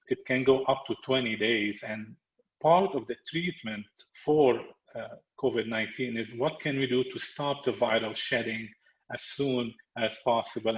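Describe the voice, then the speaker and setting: A man's voice: mid-range voice, fairly bright tone. Male medical presenter with a Lebanese accent for a webinar talk; hesitant phrasing, reverberant lo-fi sound. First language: Arabic. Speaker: medical lecturer, pulmonologist. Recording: echoey, dull muffled webinar audio.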